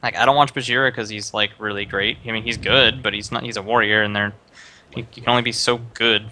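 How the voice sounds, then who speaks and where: A man's voice: lowish voice, steady tone, fast, bright, forceful tone, a little hiss or hum. A young man streaming live, casually giving another streamer rambling advice with lots of fillers. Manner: rambling, many fillers and restarts, advising.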